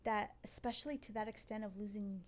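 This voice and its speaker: A woman's voice: mid-range voice, steady tone, fast talker, crowd noise. A woman co-hosting a podcast interview in a casual, supportive and affirming way. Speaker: podcast co-host.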